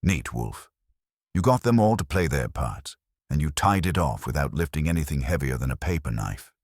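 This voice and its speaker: A man's voice: extremely low pitch, very animated, moderate pace, a little mellow, no echo, clean studio sound, heavily acted. A man voicing a wry, wisecracking detective's assistant in an audiobook, informal and teasing.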